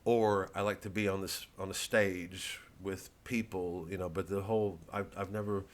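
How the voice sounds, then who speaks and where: A man's voice: deep voice, fairly even intonation, moderate pace. A man chatting casually to camera to promote his show, nervous and rambling, self-mocking, with many restarts. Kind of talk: casual direct-to-camera chat.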